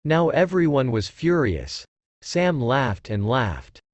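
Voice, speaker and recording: A man's voice: medium pitch, sing-song, slow, dry recording. A man narrating a scripted story for children.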